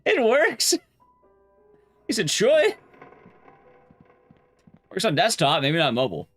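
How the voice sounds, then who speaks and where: A man's voice: high voice, wildly swooping pitch, slow, fairly bright tone, soft background music. A man streaming a game, chatting casually in a rambling, blunt, conversational way with his viewers. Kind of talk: casual live-stream chat while gaming.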